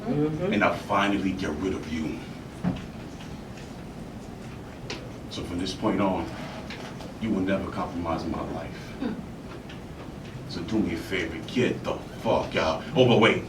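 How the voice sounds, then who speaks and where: A man performs an embittered bar owner and father in a dramatic monologue, confrontational and full of self-blame. He speaks haltingly and hammers phrases by repeating them. The mic is distant, the room echoes, and a clear rumbling noise sits underneath. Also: natural voice. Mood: cold, resolute.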